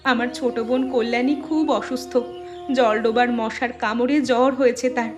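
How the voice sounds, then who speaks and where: A woman's voice: on the high side, steady tone, moderate pace, music in the background, lightly acted. A woman voicing a brave, devoted elder sister in a cartoon, earnest and determined. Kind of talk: cartoon voice acting.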